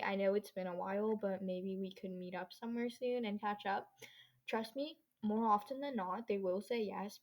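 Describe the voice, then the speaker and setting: Casting: teen female podcast host, average pitch, informal and chatty, wandering off on slangy tangents. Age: teenager. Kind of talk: casual solo podcast chat. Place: own bedroom.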